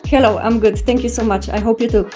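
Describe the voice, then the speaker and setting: Polish-accented female guest, 30s, mellow higher voice, earnest and hesitant, remote call. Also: fairly even intonation, fast talker, faint music behind. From Poland. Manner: thoughtful, lots of "uh" fillers, earnest. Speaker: guest, neuroscientist. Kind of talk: interview over a call.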